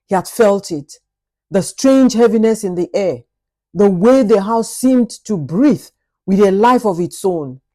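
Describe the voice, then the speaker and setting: Female storyteller, mellow tone, expressive and suspenseful, for dramatic folktale narration. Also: medium pitch, a little slow.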